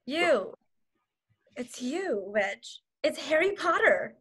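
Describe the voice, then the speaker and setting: A woman playing a frightened, earnest wife and mother accused in court, pleading in a husky voice during a script read. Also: sits high, very animated, takes it slowly, fairly bright tone, exaggerated voice. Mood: amazed. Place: home, video call.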